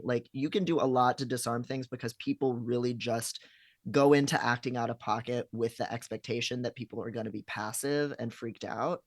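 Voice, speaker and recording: Halting, casual man on a stream. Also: mid-range voice, dry recording. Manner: halting, filler-heavy, frequent restarts. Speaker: stream co-host. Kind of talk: casual chat.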